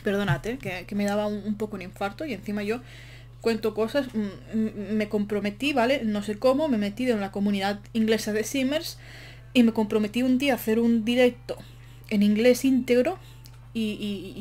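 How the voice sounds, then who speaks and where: A woman's voice: medium pitch, darkish tone. Chatty woman, rambling and short of breath. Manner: chatty, rambling, self-mocking asides. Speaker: game build commentator. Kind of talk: casual voice-over while building in a game.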